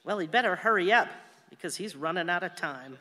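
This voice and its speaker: A tart older wife who needles and teases in a radio play. Her voice is sharp and bright, carrying through a reverberant hall. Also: lowish voice, animated, a little fast. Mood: tart, mocking.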